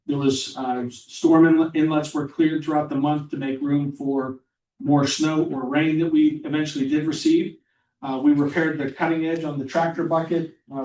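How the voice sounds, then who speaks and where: A man on the borough staff reading a public works report aloud at a council meeting, steady and flat, with filler words. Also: on the high side, a little hiss or hum. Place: municipal council chamber. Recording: echoey chamber audio from far off.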